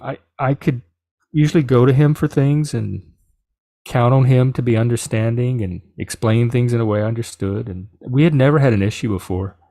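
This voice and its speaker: A middle-aged man from South Louisiana with a Cajun English accent, telling a personal story in a casual, animated way. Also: medium pitch, dry recording, studio quality. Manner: animated, quoting others in reported dialogue. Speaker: podcast host.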